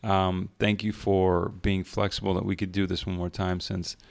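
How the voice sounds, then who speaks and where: A man hosting an interview podcast, introducing a guest and asking relaxed, conversational questions. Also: low-pitched, normal speed, creaky, dry recording. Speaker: podcast host.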